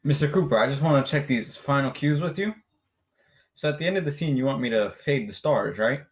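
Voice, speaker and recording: A man's voice: mid-range voice, expressive, fairly quick, lightly acted. Young male radio engineer, affable and plain-spoken, mid-range voice, for radio drama acted by a man. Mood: explanatory. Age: teenager or young adult. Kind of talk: staged radio play dialogue.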